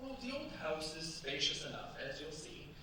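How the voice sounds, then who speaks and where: An actor playing an eager, doting young French bridegroom in a staged radio play, with a courtly, effusive manner. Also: male voice, on the high side, big pitch swings, moderate pace, sharp tone. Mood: proud, enthusiastic. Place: staged performance in a cultural centre. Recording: very echoey hall, distant mics, faint hiss.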